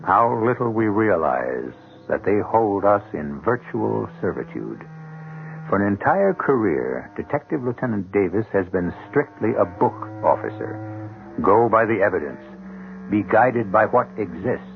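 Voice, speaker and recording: A man playing an ominous, sardonic storyteller host, narrating a radio mystery with deliberate, theatrical phrasing. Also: on the low side, gravelly, a little hiss or hum, heavily acted. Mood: musing. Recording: muffled 1970s radio broadcast.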